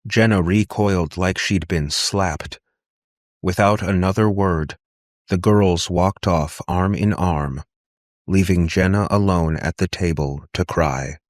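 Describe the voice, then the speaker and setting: A man's voice: low voice, slow, no echo, clean studio sound. A man reading scripted fiction as an audiobook narrator.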